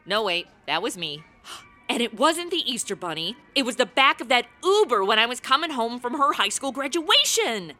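A woman's voice: on the high side, very animated, moderate pace, pushed and bright. A woman playing a brash, blunt young helper to Santa in a comedic radio play, flippant and sarcastic.

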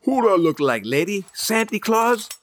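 An actress playing a gruff, sarcastic mail carrier in a comedic radio play, curt and sardonic. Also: female voice, medium pitch, very animated, normal speed, slight background noise, natural voice.

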